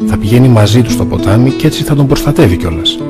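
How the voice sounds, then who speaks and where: An actor playing a gentle but firm old monastery abbot in a cartoon, calm and fatherly, with music under the voice. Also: mid-range voice, close to an everyday voice. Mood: reassuring. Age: older. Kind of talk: animated film voice acting.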